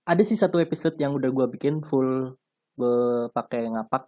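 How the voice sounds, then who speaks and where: A young man from Central Java, talking casually and haltingly as a guest podcaster. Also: medium pitch, dark tone. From Purbalingga, Central Java. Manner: halting, hesitant, fond of "ya Allah". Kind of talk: casual chat.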